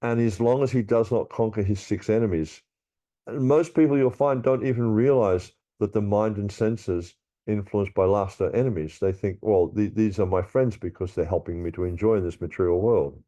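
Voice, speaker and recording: A man's voice: fairly low pitch, moderate pace, mellow. A man giving a scripture class, reading verses aloud and explaining them with frequent restarts and repeated words.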